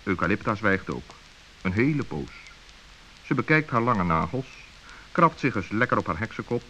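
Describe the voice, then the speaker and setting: Male narrator for a children's story. Expressive, varied intonation, faintly hissy vintage sound. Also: on the low side, moderate pace, rough recording. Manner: expressive, suspenseful.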